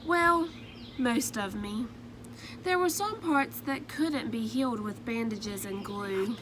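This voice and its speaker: Woman reading as a timid storybook egg, soft and earnest, slow, slightly high voice, background noise. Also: animated. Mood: wistful. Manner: soft, earnest first-person storybook narration. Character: timid male egg narrating his own story.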